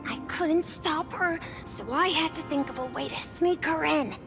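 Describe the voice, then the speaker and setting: A woman's voice: high-pitched, unhurried. A woman voicing an eager, polite, sneaky small child in a video game. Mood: eager, hushed. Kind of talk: game voice acting. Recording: music behind the voice.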